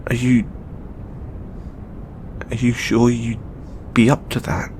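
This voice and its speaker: A man's voice: little pitch movement, slow pace, darkish tone, lightly acted. A man playing a flustered, tender god boyfriend in an intimate audio roleplay, stammering and repeating his words. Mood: wry, doubtful. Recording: noticeable low rumbling background noise.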